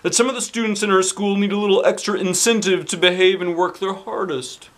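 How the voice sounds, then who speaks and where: A man voicing an emphatic, commanding male school principal in a children's storybook, booming and declamatory. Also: steady tone, brisk, a bit bright.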